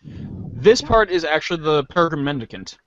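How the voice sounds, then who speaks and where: A woman's voice: deep voice, very animated, moderate pace. A woman hosting a casual live amateur show and directing the readers. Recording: lo-fi with clear background noise.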